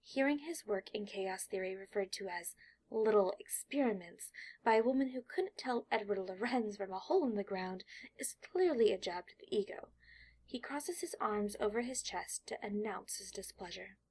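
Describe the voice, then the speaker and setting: A woman with a slightly husky voice reading scripted fiction as an audiobook narrator. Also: medium pitch, animated, moderate pace, darkish tone.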